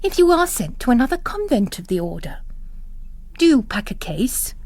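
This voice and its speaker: A mysterious priest played by a woman narrator in a literary story, courteous and quietly inquisitive. His questions are polite and gently probing, with a mobile pitch and a dry, close sound. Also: very animated, moderate pace, no room sound. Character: smooth-mannered, inquisitive male priest, mysterious stranger.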